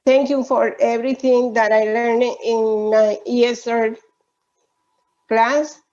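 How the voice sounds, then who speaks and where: A woman's voice: fairly high pitch, flat delivery, takes it slowly, mellow. A middle-aged woman from Puerto Rico, a Spanish speaker with a Puerto Rican accent, reading a prepared statement aloud on a video call. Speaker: ESL student. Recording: dull lo-fi video call audio.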